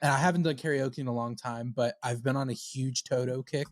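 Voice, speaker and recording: A man's voice: medium pitch, steady tone, brisk, no echo. Band guy on a podcast, rambling, kinda self-deprecating, dry room. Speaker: band member guest. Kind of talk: casual podcast chat.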